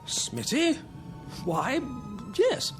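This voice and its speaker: A courtly, jovial old air marshal in radio drama style. The voice sits high and rises and falls dramatically, polite and hearty. Faint music plays behind it. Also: male voice, wildly swooping pitch, drawn-out words, full character voice. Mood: fond reminiscing.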